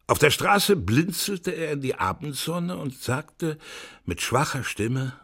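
A man's voice: on the high side, very animated, slow pace, a bit bright, breathy edge, full character voice. A man narrating a children's radio play as its storyteller, reading scripted prose. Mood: descriptive.

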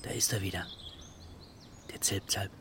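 A man's voice: on the low side, moderate pace, close to an everyday voice. An actor playing a reflective, patient, bird-loving father in a children's radio play. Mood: gentle, musing. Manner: musing, patient. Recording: outdoor birdsong noise behind voice. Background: birdsong.

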